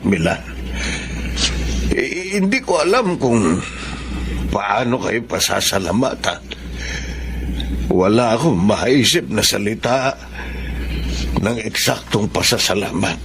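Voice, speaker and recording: A man's voice: medium pitch, gravelly, background noise. An actor playing a humble, sentimental old male street vendor in a Filipino radio drama, speaking with emotion. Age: older.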